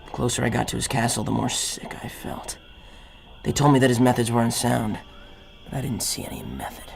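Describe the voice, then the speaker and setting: A gravelly, creaky-voiced army captain played by a man, brooding and cynical, narrates his mission in a grave, hard-boiled radio drama style. Music plays clearly behind him. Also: mid-range voice, steady tone, fairly quick, lightly acted. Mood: haunted, weary. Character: brooding, war-weary male army captain, haunted and cynical. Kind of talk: scripted first-person radio drama narration.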